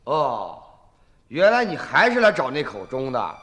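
An actor playing a grown peasant son in a radio drama, hot-tempered, suspicious and bluntly confrontational. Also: male voice, mid-range voice, wildly swooping pitch, measured pace, sharp tone, heavily acted. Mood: resentful. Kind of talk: Chinese radio drama acting. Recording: reverberant drama scene with music.

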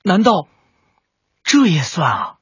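A man's voice: sits high, big pitch swings, slow pace, fairly bright tone, husky, dry recording, dull audio, exaggerated voice. An actor playing a young male martial arts disciple, earnest, questioning and puzzled, in a Chinese radio drama.

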